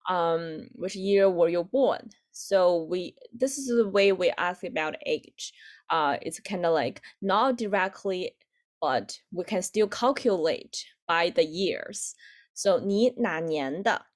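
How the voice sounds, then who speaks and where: A woman in her twenties with a Chinese accent and native Chinese, teaching an online language lesson haltingly, repeating phrases for learners. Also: lowish voice, lively intonation. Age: 20s. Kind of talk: online lesson, explaining with examples.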